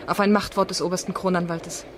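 A woman's voice: on the low side, level tone, quick pace, a little hiss or hum, natural voice. An actress playing a determined, troubled woman lawyer in a German radio crime drama, earnest, insistent and articulate.

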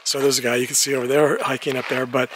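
A man's voice: mid-range voice, little pitch movement, fast talker, noisy background. A man chatting casually as he guides a walking tour outdoors, laughing often. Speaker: vlogger walking-tour host. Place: outdoors on a neighborhood street at dawn, walking.

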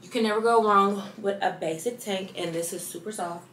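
A young woman in her twenties chatting casually through a clothing haul, lively and loose, dipping into soft asides. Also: mid-range voice, a little fast, roomy sound, a little hiss or hum. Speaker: fashion vlogger. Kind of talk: casual try-on haul chat.

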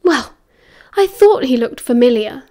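A woman's voice: high-pitched, measured pace, a little mellow. A woman voicing a hot-headed, defensive teenage schoolboy in a story, snappy and sarcastic yet anxious. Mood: exasperated, sarcastic. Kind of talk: dramatised story reading.

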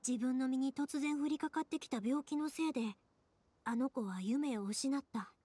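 A woman's voice: sits high, normal speed, dark tone, slightly rough, no room sound. An actress playing a kind-hearted young woman in an anime game, speaking earnestly and expressively with a Kansai accent. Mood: reassuring, thoughtful. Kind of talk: anime game dialogue acting.

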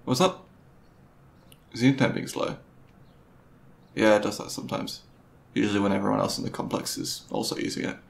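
Male actor for considerate, geeky young boyfriend, ASMR audio roleplay. Raspy, soft-spoken, conversational, slightly unsure of himself. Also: on the low side, fairly even intonation, brisk, long pauses. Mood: casual, wry. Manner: hesitant, soft-spoken, conversational, with mumbled asides. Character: gentle, nerdy, considerate young boyfriend on movie date.

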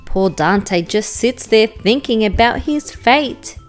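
An Australian woman with a fairly high voice reads a children's storybook at a very slow pace. She narrates expressively in careful rhyme over a music bed. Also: expressive. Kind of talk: children's picture book read aloud, scripted rhyming narration.

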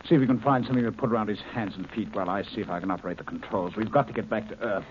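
An actor playing a scientist in danger who speaks urgently and pleads, in an old 1950s radio drama. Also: male voice, fairly low pitch, very fast, natural voice. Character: male scientist in peril, desperate and earnest. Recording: dull old radio broadcast with faint hiss.

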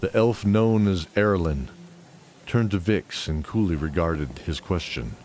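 Deep-voiced male narrator. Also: a little slow, a little mellow. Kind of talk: scripted fantasy audio drama narration. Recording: clear background noise and ambience.

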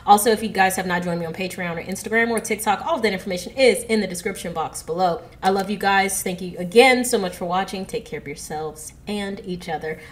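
A woman's voice: mid-range voice, fast talker, few pauses. A woman in her thirties hosting a reaction video, chatting casually to viewers with a stuffy, congested nose, on an earbud mic in her living room. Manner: chatty, giggly, addresses viewers directly. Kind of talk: casual chat to camera. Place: living room at home, indoors. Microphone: earbud headset mic.

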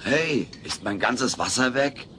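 A man's voice: mid-range voice, lively intonation, slow, noisy background. A man playing a cheeky, naive furry alien houseguest in a comedy audio play, wisecracking and self-assured. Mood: eager.

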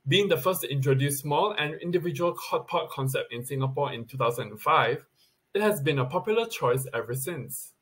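A man's voice: on the high side, animated, fairly quick, a bit bright, breathy edge. A man reading a scripted countdown list as a video presenter, recorded on a webcam in a plain indoor room.

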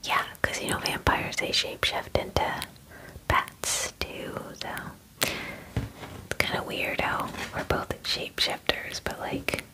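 A woman in her twenties whispering softly and breathily in a gentle, casual, chatty ASMR roleplay, close to the microphone. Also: slow pace, low-quality recording. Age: 20s. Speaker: ASMR roleplayer playing a werewolf spa groomer. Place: small dim room indoors.

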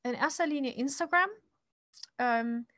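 A woman in her thirties from Japan chats casually through a drawing-stream Q&A, her voice sitting a little high. She rambles with fillers and restarts. The sound is dry and close, from a desk microphone. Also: a little slow, a bit bright, no room sound. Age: 30s. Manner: chatty, many fillers and restarts, frequent laughs. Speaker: comic artist answering viewer questions. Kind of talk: casual livestream Q&A while drawing.

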